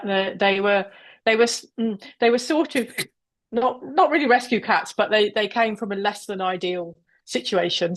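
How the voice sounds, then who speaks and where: A woman from South Wales, a guest author, talking in a hesitant way with many pauses and restarts over a video call. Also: mid-range voice. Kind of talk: casual interview chat.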